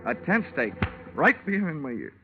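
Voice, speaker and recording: A man's voice: a little high, very animated, moderate pace. A man playing a wry, wisecracking insurance investigator in old-time radio drama, with a dry, clipped delivery. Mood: wry, matter-of-fact. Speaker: actor playing the narrating lead. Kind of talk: 1940s-50s radio detective acting, wry first-person narration. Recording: muffled old radio recording, music behind.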